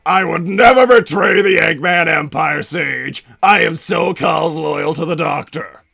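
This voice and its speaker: A man voicing a bombastic middle-aged mad-scientist villain and doting father, with a raspy, gravelly voice and a theatrical, blustering manner, improvising on a livestream. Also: on the high side, measured pace, sharp tone, heavily acted. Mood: blustering, bemused. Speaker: livestream host in character. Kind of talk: improvised in-character livestream Q&A, reading out viewer messages.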